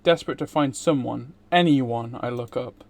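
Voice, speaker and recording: A man's voice: mid-range voice, normal speed, gravelly. A man streaming a game, reading a visual novel aloud.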